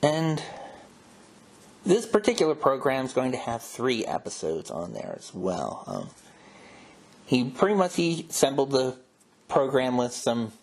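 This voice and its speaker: A man's voice: medium pitch, a little mellow. A man hosting a show, giving a casual, informative introduction. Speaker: podcast host.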